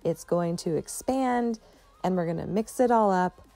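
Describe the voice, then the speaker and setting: A stay-at-home mom giving a chatty, relaxed voice-over for her home vlog, often saying "like" and "you know". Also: on the low side, unhurried, gravelly, no echo, soft background music. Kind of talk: casual voice-over narration.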